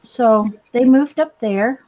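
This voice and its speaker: A slightly dull recording of a woman in casual conversation. Her voice is fairly high and she speaks slowly. She feels her way through sentences, hesitant and rambling. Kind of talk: casual chat.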